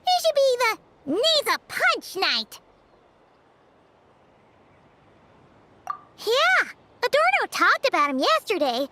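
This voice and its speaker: A woman voicing a tiny, excitable, inquisitive fairy companion girl in a video game. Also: very high-pitched, measured pace, slight background noise, heavily acted. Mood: indignant, surprised. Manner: exclaiming, indignant, inquisitive.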